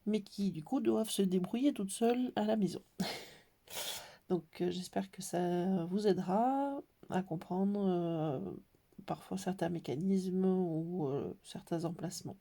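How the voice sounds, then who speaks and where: Woman with a cold showing a craft album, lots of pauses and ums, mellow voice. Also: lowish voice. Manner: hesitant, many pauses and fillers. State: has a cold. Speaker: scrapbooking tutorial maker. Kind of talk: casual craft tutorial.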